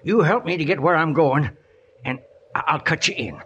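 An actor playing a wily, ornery old outlaw with a broken leg in a radio western, in a folksy American Western drawl with halting exclamations. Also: male voice, on the high side, fairly bright tone, breathy edge. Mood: amused, scheming. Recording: dull, noisy old radio recording.

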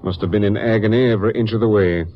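An actor playing a grave, weary Texas Ranger captain, somber and matter-of-fact, in an old radio crime drama. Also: male voice, lowish voice, fairly even intonation, rapid-fire, lightly acted. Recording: muffled, noisy old radio recording.

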